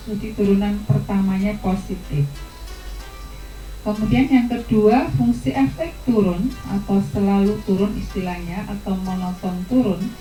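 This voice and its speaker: A woman teaching a math lesson, walking students through each idea step by step. Her voice is mellow and relaxed, recorded in a roomy, echoey space with soft guitar music playing faintly behind her. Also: medium pitch. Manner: patient, step-by-step explanation to students.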